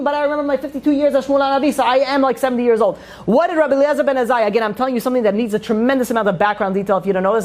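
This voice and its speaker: Rapid-fire woman teaching a religious class. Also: on the high side, a little mellow. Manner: emphatic, asks and answers own questions. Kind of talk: informal religious lecture to a group.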